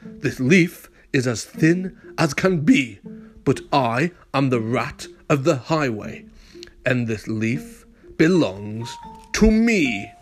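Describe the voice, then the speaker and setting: A man voicing a greedy, boastful rat highwayman from a picture book who bellows his demands. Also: very animated, slow, soft background music. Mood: boastful bellowing.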